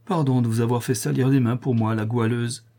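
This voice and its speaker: A man voicing a poor, bullied woman prisoner who begs and thanks, in a husky voice. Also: mid-range voice, steady tone, fairly quick, mellow, lightly acted. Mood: begging. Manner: grateful, begging.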